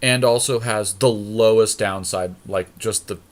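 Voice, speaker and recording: A man's voice: fairly low pitch, unhurried. A man co-hosting a podcast, thinking aloud in casual, slangy commentary as he plays a card game draft. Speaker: podcast co-host.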